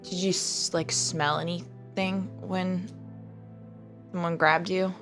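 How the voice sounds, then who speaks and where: A woman's voice: on the low side, little pitch movement, takes it slowly, fairly bright tone. A woman playing a tabletop game, speaking casually in character. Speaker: player in a tabletop game show. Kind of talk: casual role-play chat. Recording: music bed under the voice. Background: background music.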